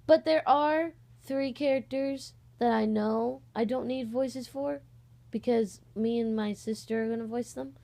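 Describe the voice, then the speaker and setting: A woman's voice: a little high, a little slow, gravelly, warm tone. A young woman chatting informally in a scattered, rambling vlog style, easily sidetracked. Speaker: amateur voice actor and video host. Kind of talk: casual rambling vlog chat. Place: on a bed at home, indoors.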